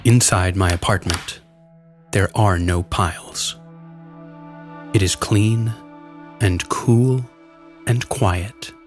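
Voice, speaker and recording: A man narrating first-person horror fiction from a script, grim and numb, in short deliberate phrases with pauses. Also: deep voice. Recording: prominent music behind the voice. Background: music.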